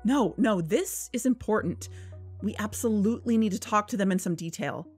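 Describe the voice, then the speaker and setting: A woman's voice: mid-range voice, lively intonation, moderate pace, darkish tone, husky, faint music behind. An actress playing an earnest, persuasive young woman who hosts an investigative podcast in an audio drama. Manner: earnest, coaxing. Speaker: podcast host. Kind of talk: fiction podcast narration and phone dialogue.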